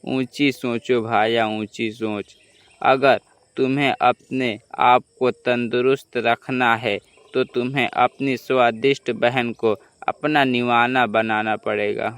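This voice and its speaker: A man's voice: medium pitch, steady tone, takes it slowly, a bit bright, clean tone, no room sound. A man voicing a greedy, scheming old grandfather crow who cajoles and scolds in a children's cartoon. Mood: preachy, persuasive. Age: older. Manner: cajoling, scornful, preachy. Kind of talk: Hindi children's cartoon dialogue.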